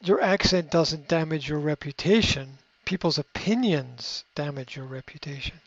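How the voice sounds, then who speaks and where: A man giving unscripted coaching advice in a reflective way, often pausing with fillers and restarting his sentences. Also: fairly high pitch, dry recording. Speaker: coach answering a question. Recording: dry, slightly muffled recording.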